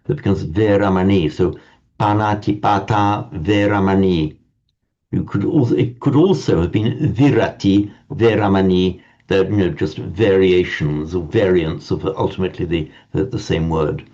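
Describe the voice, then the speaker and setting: A man teaching a Sanskrit class in a relaxed lecture manner, often stammering and restarting words. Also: low-pitched, normal speed. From parents from Ireland. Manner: hesitant, repeats and restarts words. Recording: dull lo-fi sound.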